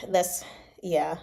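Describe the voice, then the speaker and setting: A woman hosting a read-along, reading a novel aloud and reacting in an informal, expressive, slangy way. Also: fairly low pitch, creaky. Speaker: host of a live book read-along.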